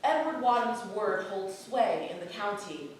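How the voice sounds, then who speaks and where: Stage play: actress as reserved, conflicted period townswoman, medium pitch, hesitant, heard from a distance in a very echoey theatre. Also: lively intonation, a little slow, close to an everyday voice. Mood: reluctant, faintly bitter. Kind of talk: staged reading of a historical play. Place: theatre stage, recorded from the audience. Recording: echoey hall, distant mic, faint noise.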